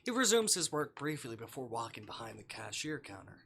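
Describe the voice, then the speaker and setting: A man with a bright, forceful voice streams a game in a casual, playful way. His pitch moves around a lot as he reads aloud and comments offhand. There is some noise in the background. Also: very animated, brisk, gravelly. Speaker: livestreamer playing a visual novel.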